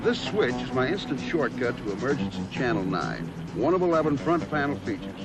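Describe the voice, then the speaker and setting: A man giving a testimonial-style sales pitch as a spokesman in a 1970s commercial. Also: big pitch swings, a little fast, loud music behind the voice.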